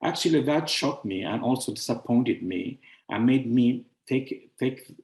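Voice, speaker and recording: Man, halting and roomy. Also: mid-range voice, animated, creaky, a little mellow. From Syria. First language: Arabic. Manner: halting, searching for words with many fillers. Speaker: founder presenting his publishing house. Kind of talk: showcase presentation. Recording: roomy echo.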